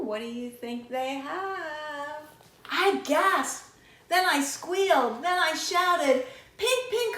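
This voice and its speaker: A middle-aged woman reading a children's story aloud expressively, with deliberate, child-directed questions to listeners. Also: high-pitched, fairly bright tone. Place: small indoor room with shelves. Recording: roomy indoor echo.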